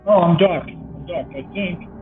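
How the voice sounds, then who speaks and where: A man's voice: high-pitched, measured pace, music in the background. An older man from Canada chatting casually on voice chat while gaming, rambling and hesitant, trailing off mid-thought. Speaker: fellow player on voice chat. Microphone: voice-chat mic. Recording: muffled tinny voice-chat mic.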